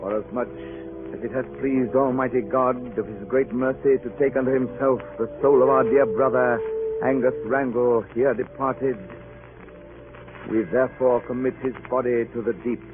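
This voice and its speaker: A man's voice: mid-range voice, flat delivery, moderate pace, natural voice. Man acting a grave sea captain, tinny radio. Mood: solemn. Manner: grave, formal, dramatic. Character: earnest, urgent ship's captain telling a grim sea tale. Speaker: narrator and ship's captain. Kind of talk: 1940s radio drama acting. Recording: tinny old radio, music behind.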